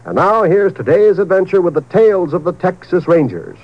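An upbeat man announces in polished 1950s radio promotional style. His fairly high voice swings widely in pitch, on a dull old broadcast recording. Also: expressive, fairly quick.

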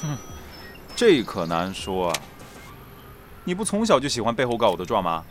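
Man as a cocky, low-voiced teenage troublemaker. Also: huge pitch swings, moderate pace, a little hiss or hum. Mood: taunting, threatening. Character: cocky, menacing male high school troublemaker. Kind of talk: Chinese audio drama acting.

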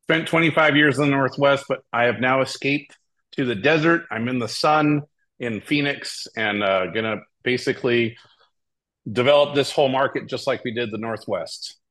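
A man's voice: mid-range voice, normal speed, fairly bright tone. A middle-aged man from Hawaii talking casually as an interview guest, telling stories. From Hawaii, United States. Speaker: podcast guest, tournament director. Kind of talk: casual interview talk, storytelling.

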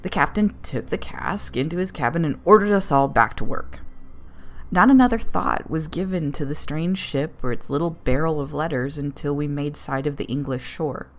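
A woman reading a story as its author, in a dramatic, measured and suspenseful narrating style. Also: sits low. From United States. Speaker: author reading own tale.

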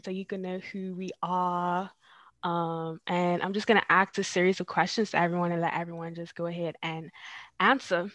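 A young woman hosts a relaxed podcast with an everyday mid-range voice. She leads with easygoing questions full of fillers. The sound is dry and close. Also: mid-range voice, dry recording. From Bermuda. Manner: friendly questioning with many fillers. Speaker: podcast host. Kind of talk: casual podcast hosting.

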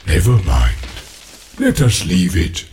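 An ancient tree-giant, deep and rumbling,. He drags out every word at a slow, unhurried pace, humming and pausing as he muses. His pitch rolls widely from low rumbles to sudden high exclaims. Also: huge pitch swings, darkish tone, a little hiss or hum, exaggerated voice. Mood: musing. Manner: slow, musing, full of hums and pauses. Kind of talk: audiobook character reading.

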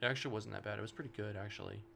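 A man's voice: lowish voice, fairly even intonation, very fast, gravelly, sharp tone, a little hiss or hum. A young man in a casual podcast chat, thoughtfully arguing his point. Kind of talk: casual chat among friends.

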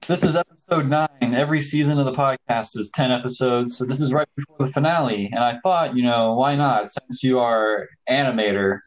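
A man's voice: mid-range voice, fairly quick, hardly any pauses, poor audio. A young man from Ohio hosting a casual podcast, easygoing and using slang. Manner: laid-back, casual slang. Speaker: podcast host. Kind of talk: casual chat.

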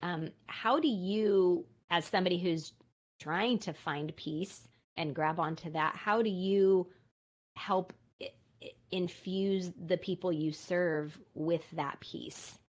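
A woman with a lowish voice hosts an interview. She asks halting questions and answers her guest with affirming remarks. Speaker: podcast interviewer.

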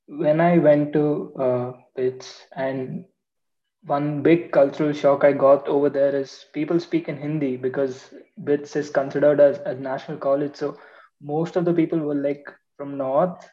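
A young student from Bangalore, chatting casually and asking questions. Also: male voice, a little high. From Bangalore, India. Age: young adult. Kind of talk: casual chat. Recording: dull lo-fi sound.

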